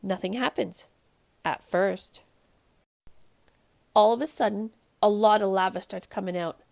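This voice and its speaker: A woman hosting a children's read-aloud, narrating a storybook in an expressive, animated way. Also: mid-range voice, unhurried, gravelly.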